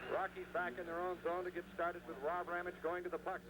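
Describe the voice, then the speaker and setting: A man's voice: sits high, flat delivery, fast talker, background noise. A man calling hockey play by play on radio in clipped, urgent running narration, on a 1970s broadcast recording. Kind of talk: live radio sports commentary.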